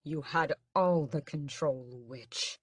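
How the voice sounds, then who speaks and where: Brief: a woman playing a defiant young vampire prince, angry, clipped and forceful, dry close read. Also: sits low, slow, breathy edge, no echo, heavily acted. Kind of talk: audiobook character voice.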